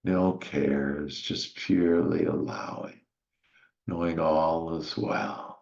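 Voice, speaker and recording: A man with an extremely deep voice leads a soothing spiritual visualization. He speaks very slowly and gently, leaving long pauses and letting words settle into a gravelly fry. The recording is a little muffled and lo-fi. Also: fairly even intonation. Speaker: guided meditation leader. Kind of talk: soothing guided meditation, slow spiritual visualization.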